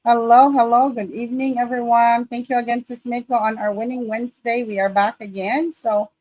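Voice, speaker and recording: A woman co-hosts a live online show in a fairly high voice, greeting everyone brightly and more than once. The sound is dry and close, with no room echo. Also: flat delivery, a little fast. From Philippines. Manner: upbeat, repeated greetings. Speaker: co-host.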